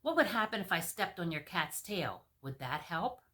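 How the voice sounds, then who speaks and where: Woman doing a hapless, animated storybook king. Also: lowish voice, moderate pace. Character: lovesick, exasperated, foolish king.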